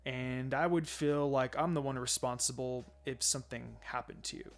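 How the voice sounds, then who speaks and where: A narrator voicing a reserved, caring young male ninja in a quiet, restrained manner. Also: mid-range voice, fairly even intonation, moderate pace, voice pushed down, natural voice. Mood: concerned.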